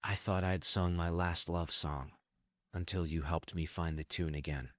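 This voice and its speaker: Man acting a low-voiced wistful stage magician. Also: fairly even intonation, normal speed, pushed and bright, no room sound, studio quality. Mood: tender, regretful. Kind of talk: script table read, musical play.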